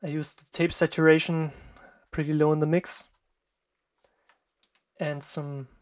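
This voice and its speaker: A music producer from Germany, a native German speaker with a German accent, talks through a screen-recorded walkthrough in a hesitant, halting way with many fillers and short pauses. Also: medium pitch, level tone.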